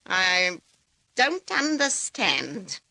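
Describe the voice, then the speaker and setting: A woman's voice: mid-range voice, sing-song, very slow, creaky, pushed and bright, no room sound, full character voice. A woman playing a suspicious, indignant older farm wife in an old-time radio drama, brusque and scornful. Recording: vintage radio drama recording.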